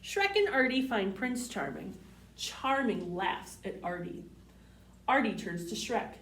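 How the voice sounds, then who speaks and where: A woman's voice: medium pitch, animated, slow pace, fairly bright tone, slight background noise. A woman reading a storybook aloud to young children as a library storyteller, with a sing-song manner. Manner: sing-song, addressing children with simple questions. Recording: roomy, distant microphone.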